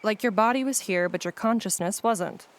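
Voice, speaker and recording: A woman's voice: medium pitch, normal speed, natural voice. An actress playing a practical, reassuring female friend, speaking patiently in an audio play.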